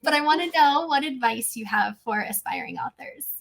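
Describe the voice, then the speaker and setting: A woman's voice: high voice, animated, normal speed, creaky, a bit bright. A woman podcast host putting questions to a guest in an interview.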